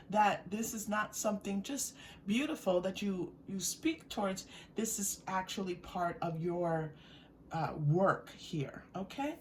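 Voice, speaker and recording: A woman giving an unscripted, intuitive tarot reading straight to viewers, speaking gently and reassuringly in halting, searching phrases with repeated words. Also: mid-range voice. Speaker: tarot reader. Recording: distant mic with faint background noise.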